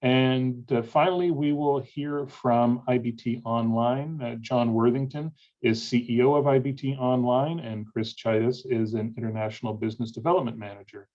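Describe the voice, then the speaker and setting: A man moderating a webinar over a video call, reading out speaker introductions in a halting way with many filler sounds. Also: medium pitch, darkish tone. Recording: dull lo-fi audio.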